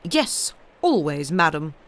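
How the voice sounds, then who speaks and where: A woman's voice: sits low, sing-song, slow, fairly bright tone, exaggerated voice. A woman voicing an imperious, indignant lady of the house in a novel, speaking formally and commandingly. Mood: stern.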